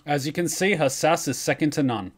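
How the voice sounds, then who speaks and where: A man's voice: a little high, brisk, soft background music. Wry young male streamer. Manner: wry, joking. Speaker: streamer reacting to videos. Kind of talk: casual commentary. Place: indoor room, livestream webcam setup.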